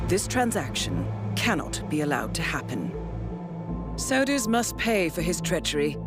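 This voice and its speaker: An actress playing a composed, commanding intelligence handler in a video game, speaking formally and precisely. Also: female voice, fairly low pitch, lightly acted. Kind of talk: scripted video game cutscene acting. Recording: loud background music. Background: music.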